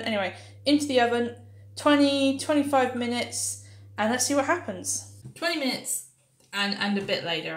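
A young woman chatting casually through a home baking demonstration, upbeat and playful, with plenty of filler ums and little history asides. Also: on the high side. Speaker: home cooking vlogger. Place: small home kitchen, indoors. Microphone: camera mic on the counter, speaker moving around. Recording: echoey kitchen room sound.

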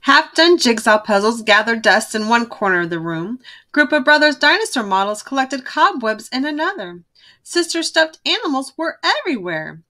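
Animated woman reading a children's storybook. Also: a little high, normal speed. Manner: expressive, emphatic. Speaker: read-aloud narrator.

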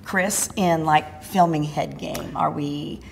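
Chatty lady host wandering off topic, lowish voice, people talking behind. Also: steady tone, slow pace, vocal fry, a crowd in the background. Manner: chatty, digressive, enthusiastic. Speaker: talk show co-host. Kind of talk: casual chat. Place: studio set with sofa. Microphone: handheld mic.

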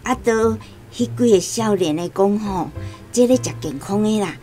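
A woman's voice: medium pitch, normal speed, dark tone, soft background music, natural voice. A woman playing an elderly, chatty, trusting Taiwanese grandmother in a radio drama, with a plaintive, folksy way of talking. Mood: friendly, explaining.

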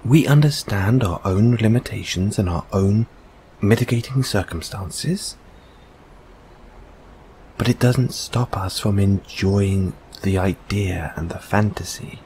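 Man acting gentle boyfriend, slow and low. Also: a little hiss or hum, rough recording. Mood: earnest, reassuring. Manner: soft, hesitant, soothing, unhurried. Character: gentle, reassuring boyfriend comforting a partner. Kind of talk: intimate comfort audio roleplay.

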